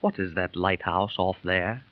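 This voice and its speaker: An actor playing a brash, eager gambler in a 1930s radio drama. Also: male voice, sits low, measured pace, no room sound. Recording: muffled 1930s radio transcription.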